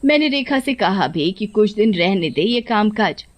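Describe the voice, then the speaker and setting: A woman's voice: medium pitch, animated, moderate pace, natural voice. A woman voicing a domineering, scheming older mother-in-law in a cartoon story. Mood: bossy, falsely sweet. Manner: scolding, domineering, falsely sweet to her son. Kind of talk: Hindi cartoon story voice acting.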